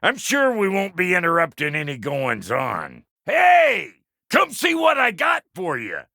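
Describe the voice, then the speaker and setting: Video game dwarf blacksmith: crude, cranky and sly, creaky and piercingly bright, folksy insults. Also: male voice, high-pitched, huge pitch swings, unhurried, no room sound, studio quality, full character voice. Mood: loud, hearty. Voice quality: gravelly, creaky, sharp. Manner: folksy, blunt, drawling slang and colorful insults. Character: crude, cantankerous, foul-mouthed dwarf blacksmith with a sly streak. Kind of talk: video game character acting.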